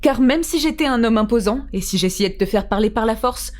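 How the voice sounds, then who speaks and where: A woman's voice: fairly high pitch, animated, a little fast, close to an everyday voice. A woman voicing a severe, impatient young prison guard in a fan dub. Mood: stern, exasperated. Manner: curt, severe. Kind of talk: fan-dub dramatic dialogue.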